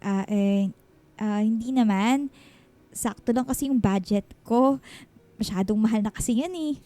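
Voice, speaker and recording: A woman's voice: a little high, unhurried, mellow, lightly acted. A woman playing a shy, modest single mother talking with her old sweetheart in a romantic radio drama, hesitant and bashful. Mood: bashful, evasive.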